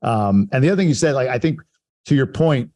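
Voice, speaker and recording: A man's voice: medium pitch, lively intonation, brisk, darkish tone. A man hosting a podcast interview, enthusiastic and affirming, backing up his guest with frequent agreement. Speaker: podcast host. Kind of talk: conversational interview.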